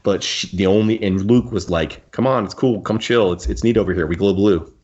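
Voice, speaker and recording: A man's voice: fairly low pitch, a little fast, darkish tone. A man hosting a casual podcast discussion in a wry, jokey, rambling way. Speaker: podcast host. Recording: dull, lo-fi audio.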